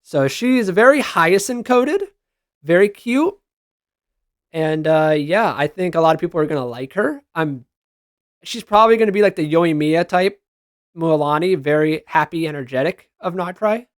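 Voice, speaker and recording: A man's voice: fairly high pitch, lively intonation, normal speed, dry recording, clean studio sound. A man giving casual, enthusiastic reaction commentary as a gaming video creator, with slangy exclamations.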